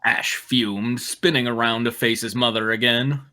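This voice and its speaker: Male narrator-commentator for comic fan fiction reading, mid-pitched, forward and bright. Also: steady tone, a little slow.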